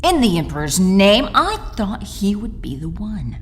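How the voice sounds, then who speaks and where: A woman's voice: mid-range voice, big pitch swings, unhurried, long reverb tail, very noisy. A woman playing a cold, scheming alien commander in an audio drama, commanding and theatrical in delivery. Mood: exasperated, dismissive. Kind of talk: audio drama villain acting.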